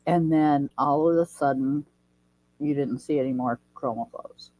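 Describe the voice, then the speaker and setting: Host: woman, deep and mellow voice, slightly stuffy nose, dry close sound, chatty and folksy. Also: a little slow, dry recording, poor audio. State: nasal from a head cold. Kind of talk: casual livestream chat.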